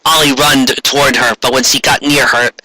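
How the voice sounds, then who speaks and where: A man's voice: medium pitch, flat delivery, a little fast, bright, forceful tone, a little hiss or hum, poor audio. A man giving a dramatic comic reading of fan fiction, theatrical and stumbling over clumsy wording.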